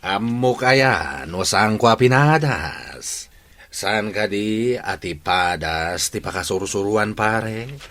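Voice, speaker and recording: Slow, low-voiced conniving buddy. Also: male voice, lively intonation, a bit bright. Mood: instructing. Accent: Ilocano. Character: conniving village buddy, confidently coaching his friend. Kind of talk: comedy radio drama acting.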